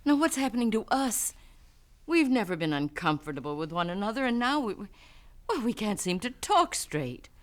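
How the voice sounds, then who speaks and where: Mother played by a woman: middle-aged, proud, brittle, evasive, with highly mobile intonation, period radio drama. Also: big pitch swings, normal speed, natural voice. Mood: strained, defensive. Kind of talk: 1970s radio drama acting.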